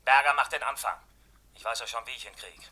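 An actor playing an embittered escaped convict, curt, insistent and menacing, in a German radio crime drama. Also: male voice, mid-range voice, fast talker, sharp tone. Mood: vengeful, menacing.

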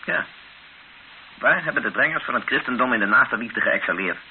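A man's voice: medium pitch, animated, normal speed, lightly acted. An actor playing a bitter, skeptical man held hostage in a Dutch-language radio drama, formal and challenging. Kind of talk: radio drama acting and first-person narration. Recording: hissy, narrow-band old radio recording.

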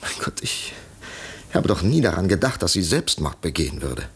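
A haunted, grieving gentleman in a 1950s radio drama. His low voice is gravelly and husky, and his speech comes halting, agitated and full of dramatic feeling. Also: big pitch swings, heavily acted. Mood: anguished. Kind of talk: 1950s German radio play acting.

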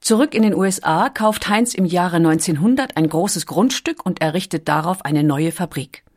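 A woman's voice: fairly low pitch, expressive, normal speed, a little mellow. A woman reads scripted documentary narration as the narrator.

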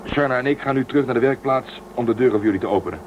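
A brisk, caring starship crewman, played by a man, speaks in a plain middle-range voice. A faint hiss sits under the old radio-drama recording. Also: medium pitch, muffled recording, lightly acted. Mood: friendly. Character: resourceful male crewman Telsen, caring.

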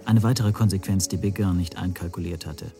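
An actor playing an anxious, wry spy suspect who narrates reflectively in the first person in a radio drama. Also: male voice, low-pitched, fairly even intonation, a little fast, mellow, soft background music.

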